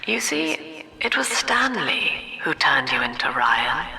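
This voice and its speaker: An actress playing a cold, cerebral middle-aged psychiatrist and cult leader in a video game, speaking in a formal, precise, solemn manner. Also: female voice, deep voice, very animated, slow pace, pushed and bright, heavily acted, poor audio. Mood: cold, measured menace. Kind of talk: dramatic video game monologue.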